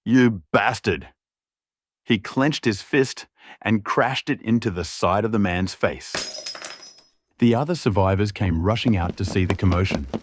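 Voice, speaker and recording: A man's voice: fairly low pitch, moderate pace, dry recording. A man reading scripted fantasy fiction as a storyteller, in a dramatic narration style.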